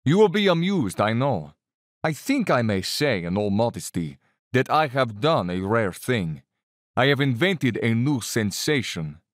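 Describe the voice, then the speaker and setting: An actor in an audio drama playing an urbane Cossack general and hunter, courtly and self-satisfied, with quiet menace. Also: male voice, medium pitch, big pitch swings, measured pace, no room sound, clean studio sound. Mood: relishing, genial.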